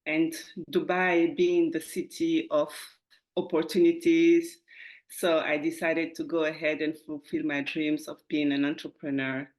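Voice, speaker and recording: Lower-voiced woman with a Francophone West African accent. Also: little pitch movement, unhurried, darkish tone, poor audio. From Guinea, West Africa. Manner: enthusiastic, often chuckles while talking. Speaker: podcast guest, entrepreneur. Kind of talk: conversational interview answers.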